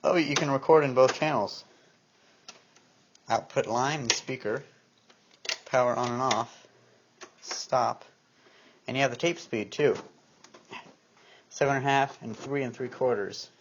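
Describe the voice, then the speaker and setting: A man's voice: medium pitch, a little slow, long pauses. Male vlogger, offhand and unscripted, talking half to himself; dull camera-mic sound with faint noise. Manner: musing aloud to himself while handling gear. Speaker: vlogger showing a collection of tape recorders. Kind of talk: casual unscripted show-and-tell. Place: indoor room at home. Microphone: handheld camera microphone. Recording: dull, noisy handheld camera sound with clicks.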